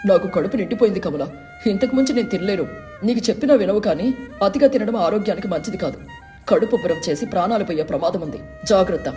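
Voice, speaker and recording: A woman voicing a cautious, anxious but caring husband in a storybook tale, admonishing in a hurried way. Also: medium pitch, expressive, mellow, faint music behind, close to an everyday voice. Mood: cautioning.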